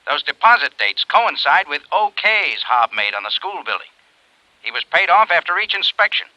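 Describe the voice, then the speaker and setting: A man's voice: fairly high pitch, big pitch swings, normal speed, sharp tone, no echo, exaggerated voice. An actor playing an eager male operative in 1950s radio drama style, insistent and punchy. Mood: urgent. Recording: dry, lo-fi old radio sound.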